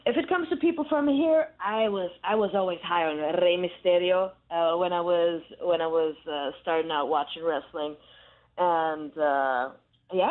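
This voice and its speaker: Woman, German native, pro wrestler guest, lively and laughing, phone-line sound. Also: lowish voice. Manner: animated, laughing, loose and playful. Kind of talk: casual phone interview.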